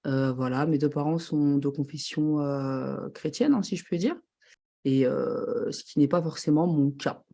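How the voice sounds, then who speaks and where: A woman's voice: low-pitched, measured pace, gravelly, dark tone. A woman in her thirties, a podcast guest, speaking hesitantly with many fillers. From Paris region. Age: 30s. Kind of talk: casual chat.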